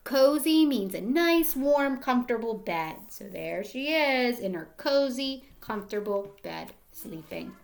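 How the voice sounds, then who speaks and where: A woman with a fairly high voice reads aloud as a teacher to young children. She speaks very slowly and deliberately, with expressive phrasing, and prompts her listeners with simple questions. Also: vocal fry. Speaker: teacher reading a picture book aloud.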